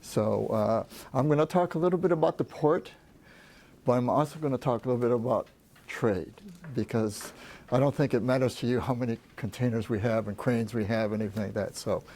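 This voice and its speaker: A soft-spoken man presenting at a business workshop, talking in a halting way with many restarts. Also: mid-range voice. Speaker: port trade representative, presenter.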